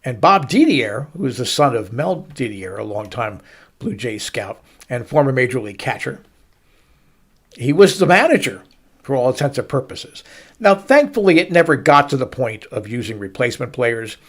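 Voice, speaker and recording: A middle-aged man hosting a baseball show, telling a story to listeners with emphatic, dramatic pauses and stretched-out words. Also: medium pitch, sing-song. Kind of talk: storytelling sports commentary to listeners.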